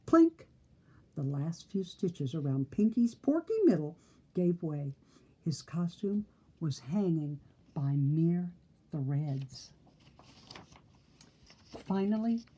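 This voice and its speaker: A woman's voice: sits low, animated, takes it slowly, long pauses, mellow, breathy edge. An older woman reading a children's story aloud expressively, with theatrical, playful emphasis. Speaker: storybook reader.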